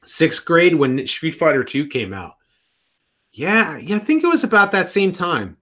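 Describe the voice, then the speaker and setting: A man's voice: fairly high pitch, sing-song, a little slow, a bit bright. A man in his thirties chatting casually on a live stream from home, rambling and often restarting his sentences. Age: 30s.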